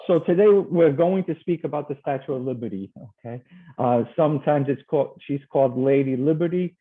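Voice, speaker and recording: A man from Portugal teaches over a video call, friendly and a little hesitant, filling pauses with ums as he walks through his slides. His voice comes through a thin, tinny call line. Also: on the high side. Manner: friendly, hesitant, with many fillers.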